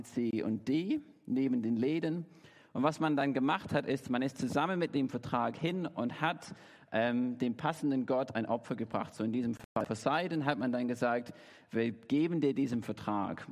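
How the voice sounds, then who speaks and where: English-born man preaching in a hall. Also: mid-range voice. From England. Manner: preaching in German as a learner, with self-corrections and fillers. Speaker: pastor. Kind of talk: sermon. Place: church hall. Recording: reverberant church hall.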